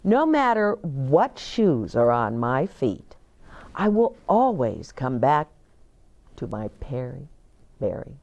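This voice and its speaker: Woman as a gentle, unhurried storybook mother. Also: a bass voice, very animated, highs cut off, voice pushed down, exaggerated voice. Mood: tender reassuring. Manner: soothing, explaining patiently to a child. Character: gentle, loving, reassuring young mother.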